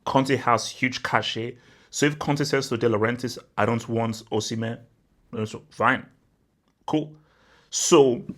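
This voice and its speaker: A young man from Nigeria talks football on his channel in a loose, excited vlog style. His voice sits at an ordinary middle pitch. He keeps hammering his points with "bro" and piles up exaggerations. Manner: animated and emphatic, repeats "bro", spirals into exaggeration. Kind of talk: casual vlog commentary.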